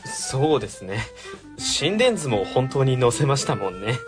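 A man's voice: mid-range voice, very animated, measured pace, music in the background. A man playing an earnest, exasperated young civil servant in a radio comedy drama, speaking politely. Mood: weary, polite.

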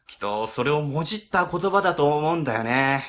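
A man's voice: on the high side, expressive, a little fast, a bit bright. A man playing a middle-aged civil servant boss in a comic radio drama, chatty, easily flustered and ingratiating. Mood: chatty, clueless.

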